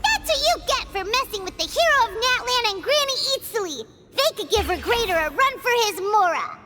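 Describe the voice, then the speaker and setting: A woman voicing a tiny, plucky, childlike female companion creature in a video game, squeaky and cheeky. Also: big pitch swings, a little fast, pushed and bright, big-room sound, faint music behind, heavily acted. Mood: cheeky, triumphant. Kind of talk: cartoonish video game voice acting.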